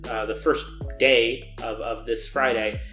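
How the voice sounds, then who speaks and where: A man's voice: medium pitch, fairly even intonation, measured pace, a bit bright, soft background music. A young man giving an informal advice lecture to camera in an earnest, emphatic way, repeating his intensifiers, recorded indoors in a living room. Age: young adult. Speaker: game jam organizer giving a keynote talk. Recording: roomy indoor echo.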